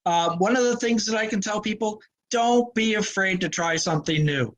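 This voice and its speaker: A man's voice: sits high, normal speed, a little hiss or hum, rough recording. A man who is a guest on a hunting show, talking in an easygoing way and sharing tips. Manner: easygoing, laughs often. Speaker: guest hunter. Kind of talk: casual interview talk.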